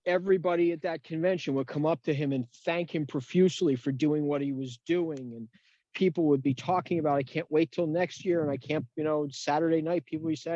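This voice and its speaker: A man's voice: a little high, warm tone, dry recording. A man reminiscing casually and in a rambling way on a group video call. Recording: dull, dry video-call audio.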